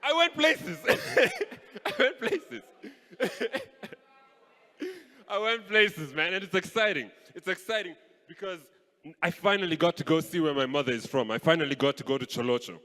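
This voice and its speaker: A young man from Kenya doing live stand-up comedy on a stage mic, playful and animated. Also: a little high. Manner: animated, playful, switches voices. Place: stage in a large hall. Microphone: handheld stage mic. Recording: live hall with long reverb.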